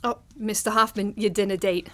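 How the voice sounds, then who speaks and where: A woman playing a breezy, persuasive studio staffer in a live old-time radio drama, brisk and chatty. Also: medium pitch, fairly even intonation, natural voice. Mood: helpful.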